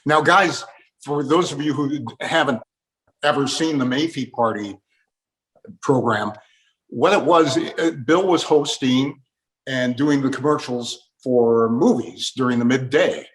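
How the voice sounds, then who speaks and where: A man's voice: mid-range voice, unhurried, a bit bright, poor audio. An older man hosting a conversational interview, reminiscing and explaining old broadcasts.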